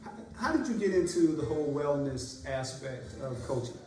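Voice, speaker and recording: A man's voice: a little high, sing-song, darkish tone, soft background music. A man hosting a casual podcast, his talk full of "uh" fillers. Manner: halting, many "uh" fillers. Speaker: podcast host. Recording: roomy sound from a distant mic.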